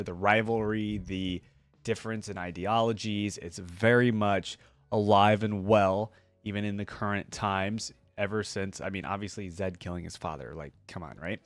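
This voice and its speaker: A man's voice: lowish voice, dry recording. A man giving casual, rambling reaction commentary as he hosts, with plenty of filler words. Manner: rambling, hedging, full of "like". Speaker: reaction video host.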